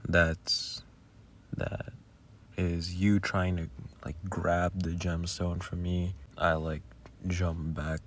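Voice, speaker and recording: A man's voice: sits low, level tone, slow pace, background noise. A man who makes audio roleplays, giving casual, rambling behind-the-scenes commentary with muttered asides.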